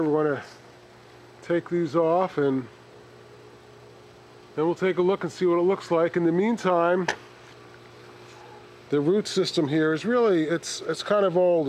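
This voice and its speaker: A man hosting an unscripted hands-on plant demonstration, speaking haltingly and thinking aloud as he works. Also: a little high, darkish tone, a little hiss or hum. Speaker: bonsai hobbyist host.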